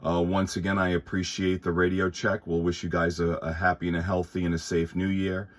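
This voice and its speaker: A man's voice: deep voice, little pitch movement, brisk. A man chatting casually and courteously as an amateur radio operator. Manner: courteous, thankful. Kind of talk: casual ham radio chat. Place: indoors at a workbench.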